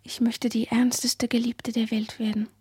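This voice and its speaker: An actress playing a dreamy, earnest teenage schoolgirl in a radio play, speaking in a hushed, breathy, confiding way. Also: on the high side, level tone, moderate pace, dry recording. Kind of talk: intimate radio play dialogue.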